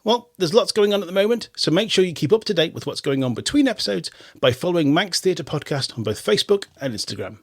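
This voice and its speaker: Lively husky male podcast host. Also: sits high, fast talker, hardly any pauses, dry recording, studio quality. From Isle of Man. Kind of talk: radio podcast presenting and chat.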